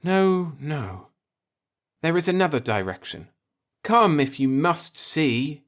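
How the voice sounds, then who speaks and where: A mournful old alien man, acted out by a male narrator, speaks in a raised, swooping pitch. He is proud and devout, with a bitter, formal solemnity suited to a science fiction storybook. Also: very animated, takes it slowly, fairly bright tone, exaggerated voice. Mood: resigned, stubborn. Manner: formal, solemn, grieving speech.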